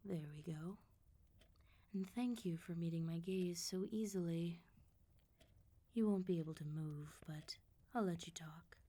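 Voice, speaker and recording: A woman voicing a suave, menacing female vampire mob boss in an ASMR roleplay, politely teasing and threatening with unhurried control. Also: on the low side, warm tone. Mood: silky, polite menace.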